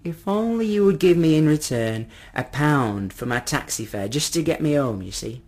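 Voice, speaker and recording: Storybook little old gentleman, male reader acting: well-spoken, courteous to a fault, gently pleading, very animated, rough-edged voice. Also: on the high side, moderate pace, pitched above the performer's own voice. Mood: coaxing. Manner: courteous, old-fashioned, effusive.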